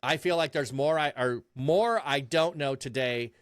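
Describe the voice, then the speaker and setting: A man's voice: medium pitch, unhurried, dry recording, clean studio sound. A man talking in an easygoing, chatty and self-deprecating way as a guest in a casual conversation. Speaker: streamer guest.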